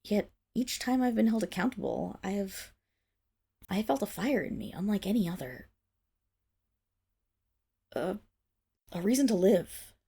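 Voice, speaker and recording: A woman acts out a proud wolf-girl servant with a husky, slightly gravelly voice. Her pitch leaps and dips expressively as she speaks in haughty, formal phrases. It is intimate ASMR roleplay, indignant and easily flustered. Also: animated, warm tone, exaggerated voice. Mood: wistful, confessional. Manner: haughty formal diction, halting when flustered. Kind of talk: ASMR roleplay, dramatic voice acting.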